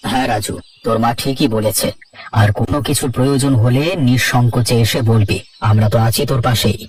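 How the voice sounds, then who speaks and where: A man's voice: medium pitch, fairly even intonation, brisk, a little mellow, distant mic, slight background noise, natural voice. A man voicing an aging farmer father in a cartoon, resigned, caring and sorrowful, speaking with weary earnestness. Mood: reassuring. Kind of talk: cartoon dialogue acting.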